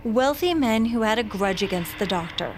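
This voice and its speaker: A woman's voice: on the high side, animated, normal speed, slight background noise, close to an everyday voice. An actress playing a wary, indignant, confrontational woman guarding her secrets in an audio drama. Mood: ominous. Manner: blunt, confrontational. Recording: loud dramatic music underneath.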